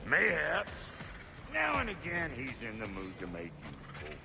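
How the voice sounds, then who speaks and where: An actor playing a brash, crotchety dwarf craftsman in a video game, with a gravelly, folksy drawl and blunt manner. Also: mid-range voice, wildly swooping pitch, normal speed, big-room sound, music in the background. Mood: brash, friendly. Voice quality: gravelly, sharp. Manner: blunt, colourful backwoods drawl. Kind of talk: animated video game acting.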